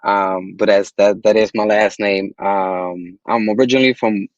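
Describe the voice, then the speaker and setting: A young man from Puerto Rico, a guest in a casual interview, talking in relaxed slang with many restarts. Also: on the low side, normal speed, dry recording, muffled recording. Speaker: guest, music distributor.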